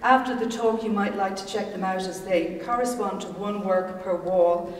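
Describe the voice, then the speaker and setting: A woman's voice: medium pitch, normal speed. Gallery host, woman in her 60s, formal reading voice, distant mic, big echoing room. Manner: formal, reads long lists of names and titles. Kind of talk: reading an introduction from notes. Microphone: far camera mic. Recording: echoey gallery room from far off.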